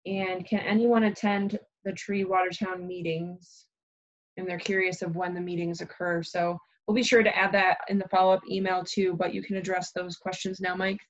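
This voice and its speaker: A woman's voice: on the low side, flat delivery, moderate pace, dull audio. A woman moderating a webinar, politely reading out questions from the chat and introducing speakers. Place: remote video call.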